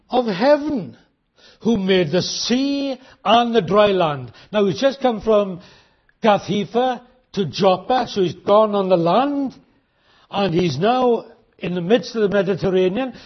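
Husky guy preaching, pretty high voice for a man, hammers the questions, muffled old recording. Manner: emphatic, rhetorical questions, slows for emphasis. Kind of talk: sermon.

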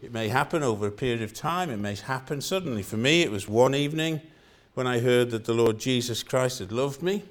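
A man's voice: medium pitch, a little fast, hardly any pauses. An older man from Wales with a Welsh accent, preaching a sermon in an earnest style and repeating phrases for emphasis.

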